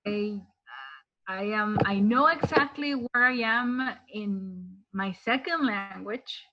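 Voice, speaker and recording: A woman's voice: medium pitch, slow pace, highs cut off. A woman with a Spanish accent, a podcast guest talking in a careful, hesitant way. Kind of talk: casual conversation.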